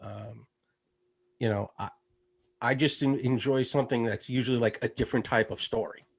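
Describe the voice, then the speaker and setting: A man from the United States talking casually as an interview guest, relaxed and rambling, with lots of fillers. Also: medium pitch, moderate pace. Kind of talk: casual conversation.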